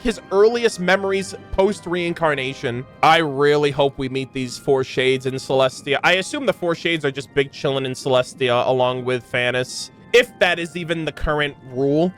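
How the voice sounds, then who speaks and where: A man doing excited reaction commentary as a game streamer, reading aloud and commenting in an animated, exclamatory way. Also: a little high. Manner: animated, exclamatory, swears freely. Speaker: streamer reacting to a video game's lore.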